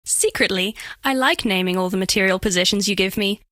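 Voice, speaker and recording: A woman's voice: mid-range voice, brisk, sharp tone, no room sound, studio quality, natural voice. A woman voicing a bashful, flirtatious female alien made of living diamond in a video game, speaking in precise, oddly formal, earnest phrases. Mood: affectionate, matter-of-fact. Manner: precise, stilted formal diction, earnest, coyly playful. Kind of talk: scripted game character voice lines.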